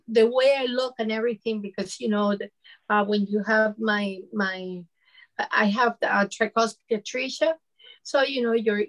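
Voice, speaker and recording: A woman's voice: fairly high pitch, poor audio. A woman in her fifties from Venezuela with a Venezuelan Spanish accent, speaking conversationally as a panelist about personal experience, often saying "you know". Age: 50s. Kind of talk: personal account on a discussion panel.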